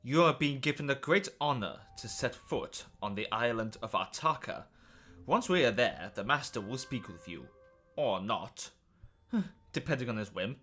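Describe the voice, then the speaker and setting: A man playing a theatrical, formal guide in an animated fan dub, teasing and ominous as he relishes each warning. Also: medium pitch, normal speed, faint music behind.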